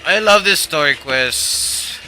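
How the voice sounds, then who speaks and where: Slow-paced man streaming, very bright voice. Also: a little high, lively intonation, faint music behind, low-quality recording. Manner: casual, reacting in short remarks. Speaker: game livestreamer. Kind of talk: casual live commentary while playing.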